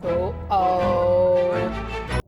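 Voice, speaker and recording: A woman's voice: lowish voice, completely flat delivery, extremely slow, muffled recording, music in the background. A woman doing casual, chatty video game walkthrough commentary, talking to herself as she plays and reading out on-screen text. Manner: chatty, talks to herself while playing.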